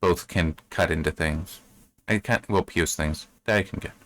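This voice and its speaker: A man giving casual, unscripted commentary on a story as a reviewer, in a bemused, halting, rambling way that often repeats words. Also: low-pitched, a bit bright. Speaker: book reviewer reading and commenting.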